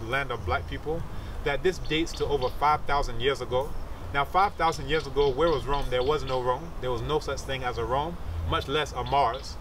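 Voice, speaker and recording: Man, thirties, didactic teacher, explanatory interview talk, mid-pitched, a bit distant from the mic, clear outdoor background noise. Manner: didactic, checks understanding with short tag questions. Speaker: capoeira teacher and researcher. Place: outdoors among leafy trees.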